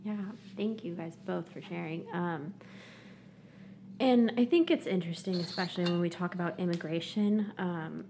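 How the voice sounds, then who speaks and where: Gravelly low-voiced woman podcast host. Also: normal speed, a little hiss or hum. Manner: warm, tentative questioning with frequent fillers. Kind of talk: casual interview conversation.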